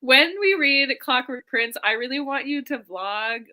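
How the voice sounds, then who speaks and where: A woman with a crisp, very bright and highly animated voice chats casually as a co-host. Her voice sits fairly high and she sounds eager and giggly, talking books on a live video call. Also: measured pace. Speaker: co-host of a book discussion live stream. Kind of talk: casual chat.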